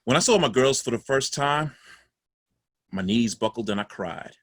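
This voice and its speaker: A man's voice: mid-range voice, lively intonation, normal speed, no room sound, clean studio sound, close to an everyday voice. An actor playing a dead father's ghost, a folksy, doting, guilt-ridden man telling his story in a confiding, emphatic way in a play reading. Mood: nostalgic, tender. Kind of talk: theatrical monologue in a stage play reading.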